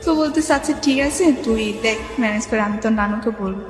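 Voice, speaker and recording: High-pitched young Bangladeshi woman in echo. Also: fast talker. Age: young adult. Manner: rambling, often quotes remembered dialogue. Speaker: guest telling her life story. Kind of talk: informal personal storytelling. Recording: reverberant hall echo, music behind.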